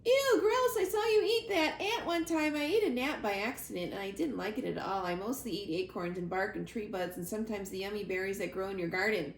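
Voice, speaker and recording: A woman plays an excitable little girl squirrel from a children's storybook, chattering nervously in breathless runs. The voice is high and swooping, in a roomy, echoing space. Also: fairly quick, heavily acted. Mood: chatty, excitable. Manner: rambling, breathless run-on chatter. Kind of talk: children's storybook read-aloud. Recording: roomy echo, clear background noise.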